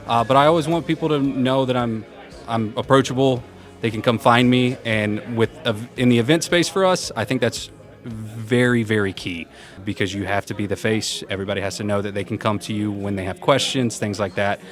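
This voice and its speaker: A young man with a loud voice, answering interview questions casually at a conference and laughing often. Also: on the low side, a little fast. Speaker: event program manager, interview guest. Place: conference venue.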